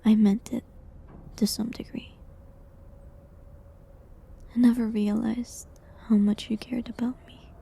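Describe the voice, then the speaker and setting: A woman playing a gentle, resigned prisoner accused of witchcraft in an intimate medieval audio drama, with a breathy, half-whispered, hesitant and pleading delivery. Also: fairly high pitch, full character voice. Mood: tender, regretful. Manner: slow, hesitant, pleading, slightly formal old-fashioned wording.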